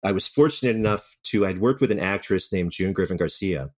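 A man with a deep voice talks through his experiences as an interview guest. He is candid and self-deprecating, speaking conversationally. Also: animated, fairly quick. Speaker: writer and audio drama director, interview guest.

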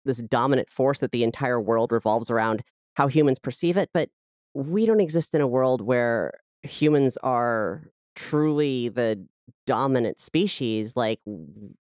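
A woman speaks as an interview guest in a smooth, low-set voice. She explains things carefully and reflectively, recorded close and dry. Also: measured pace, darkish tone, no room sound. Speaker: interview guest, podcast creator. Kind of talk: thoughtful interview talk.

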